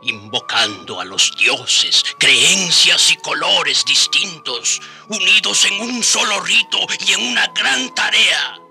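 Slow, gravelly old Indian rebel. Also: male voice, mid-range voice, pushed and bright, heavily acted. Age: older. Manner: solemn, impassioned, slow and deliberate. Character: wise old Indian rebel, hopeful, reflective, devout. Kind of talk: radio drama acting, part narration.

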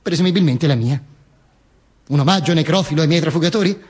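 A very high voice from a man acting gives us a dictator's corpse telling its own story as a theatrical monologue. The delivery is pompous and wry, with stammers, repetitions and self-corrections. It keeps a mock-solemn storyteller's tone. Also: fast talker, a little mellow, husky.

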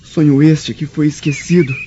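A man's voice: fairly high pitch, fairly even intonation, moderate pace, dark tone, natural voice. An actor with a Brazilian accent playing an anguished young Roman nobleman in a radio drama, with solemn, sorrowful, formal delivery. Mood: brooding. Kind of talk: melodramatic radio drama acting. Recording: dull lo-fi radio drama, background noise.

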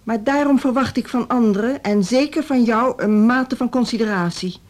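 Actress as a stiff, formal middle-aged school director. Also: female voice, on the high side, a little mellow, natural voice. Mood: stern, aggrieved. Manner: formal, measured, stern. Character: proud, stiff older female music school director, easily slighted. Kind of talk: radio drama dialogue.

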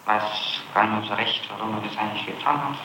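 A man's voice: lowish voice, fairly even intonation, sharp tone. Male interrogator on noisy, echoey archival tape. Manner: halting, often adds a questioning tag. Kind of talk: spontaneous questioning and assertion.

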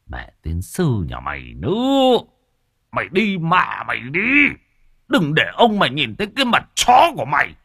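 A bitter old father rants and curses in a sharp, husky voice, as a male narrator plays him. His pitch swings wildly between growls and shouts. The recording is dry and close. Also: wildly swooping pitch, normal speed, no echo, full character voice. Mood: furious. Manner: cursing, harsh ranting.

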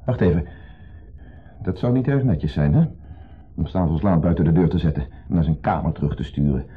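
An actor playing an insecure, jealous husband in a 1970s radio play, accusing, brooding and sardonic. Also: male voice, a bass voice, a little fast, husky. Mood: wary, grudging. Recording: muffled phone-like old radio recording.

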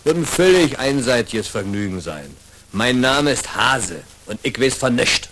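A man's voice: medium pitch, big pitch swings, a little slow. An actor playing a flippant, wry man with clipped, mocking delivery in a German radio drama. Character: flippant, wry man making light of trouble. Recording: noisy 1960s mono radio recording, clicks.

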